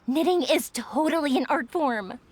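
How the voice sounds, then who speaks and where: A woman's voice: sits high, expressive, normal speed, no echo. A woman playing a bubbly, playful young fireworks maker in a video game, with a breezy manner. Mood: cheerful. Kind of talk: video game cutscene dialogue.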